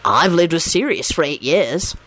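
A woman's voice: deep voice, expressive, normal speed, a bit bright, no echo. A woman voicing an earnest, polite, weary man who is secretly a werewolf, speaking plainly in a story reading. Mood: curious, resigned.

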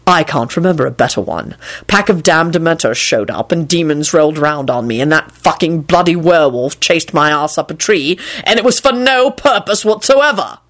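A woman's voice: deep voice, animated, moderate pace, few pauses. A woman voicing an irritable, sarcastic, foul-mouthed man in a story reading, indignant and sardonic. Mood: disgusted.

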